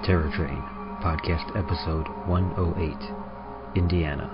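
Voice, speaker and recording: A man reading scripted podcast credits as narrator, in a measured, formal announcing manner. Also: sits low, fairly even intonation. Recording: slightly muffled, music behind.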